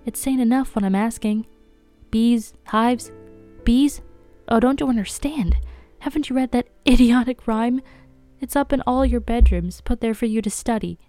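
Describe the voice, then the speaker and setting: A woman voicing a hysterical young games mistress who shrieks in a high, shrill voice. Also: moderate pace, dark tone, soft background music, natural voice. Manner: high, shrill, laughing wildly.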